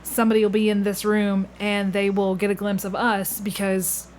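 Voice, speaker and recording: A woman's voice: mid-range voice, monotone, normal speed, a little mellow. A woman hosting a podcast, interviewing in a casual, conversational way and thinking aloud as she goes. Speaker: podcast host. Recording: clear background noise with room ambience.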